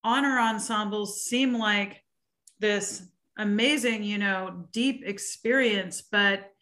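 A woman's voice: medium pitch, takes it slowly, bright, forceful tone. A woman co-hosting a podcast in a casual, earnest way. She scatters "like" through her sentences and pushes her points with direct questions. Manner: earnest, questioning, with many "like" fillers. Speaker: podcast co-host and music educator.

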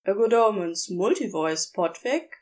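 A woman reading scripted fan fiction as narrator, in an expressive, measured storytelling manner. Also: mid-range voice. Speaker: narrator and reader.

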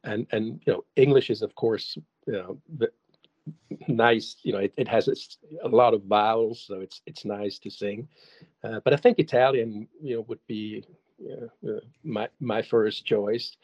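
A man's voice: on the low side, measured pace, a little mellow, no echo, lo-fi sound. A man whose first language is German, a songwriter answering interview questions casually, hesitant with many restarts and fillers. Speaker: songwriter and guest.

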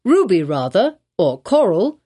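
A woman's voice: mid-range voice, sing-song, measured pace, dark tone, slightly rough. A woman voicing a kindly, fussy old male minister with quaint archaic speech. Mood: curious.

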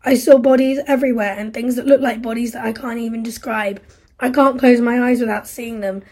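A woman's voice: fairly high pitch, fairly even intonation, quick pace, warm tone, husky. A woman voicing a grief-stricken, angry young man who survived a disaster, speaking with raw, bitter anguish.

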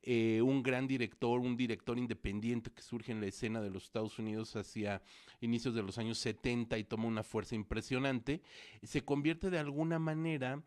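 A man's voice: mid-range voice, fairly even intonation, normal speed, darkish tone. A man co-hosting a studio show, talking through films at length with many fillers and restarts. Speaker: co-host film critic. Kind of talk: casual film review chat. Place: studio with producer at console.

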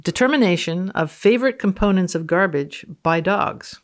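A woman's voice: fairly low pitch, expressive, normal speed. A woman physicist appearing as a podcast guest, reading research aloud and chatting in a dry, matter-of-fact way. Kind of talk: dramatic reading and banter on a podcast.

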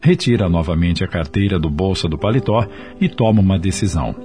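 Low-voiced male radio drama narrator over music. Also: expressive, warm tone. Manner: measured, dramatic storytelling. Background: music in the background.

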